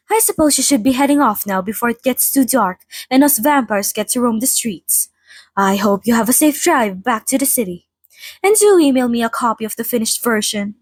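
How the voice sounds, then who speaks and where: A woman playing a polite, chatty old vampire being interviewed in an ASMR roleplay, speaking in a slightly formal, gently wry way. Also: fairly high pitch, dry recording. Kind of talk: ASMR roleplay, in-character monologue to listener.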